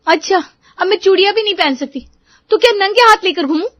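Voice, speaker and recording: A woman voicing an exasperated, defiant young daughter who pleads and talks back in an animated story. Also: very high-pitched, fast talker, dry recording, muffled recording, voice pushed up. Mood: defiant, annoyed. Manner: pleading, whiny back-talk. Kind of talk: Hindi animated moral story dialogue.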